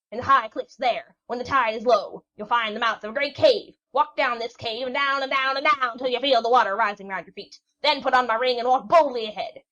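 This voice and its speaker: A woman voicing a cranky ancient sea witch who shrieks, scolds and rambles in a storybook reading. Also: pushed and bright. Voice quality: shrill, screeching. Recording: dull lo-fi recording.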